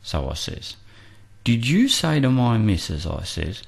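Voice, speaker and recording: A man's voice: fairly low pitch, big pitch swings, measured pace, creaky, exaggerated voice. A male actor plays an aggrieved man on trial in a radio play. He recounts his story in plain, colloquial words, like someone unschooled setting out his own side. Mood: aggrieved, agitated.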